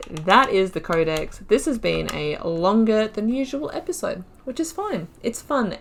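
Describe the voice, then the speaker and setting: A woman casually commenting on a game playthrough, thinking aloud, trailing off and correcting herself as she reads through in-game notes. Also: on the low side. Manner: musing, thinking aloud with fillers and self-corrections. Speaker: gaming video creator.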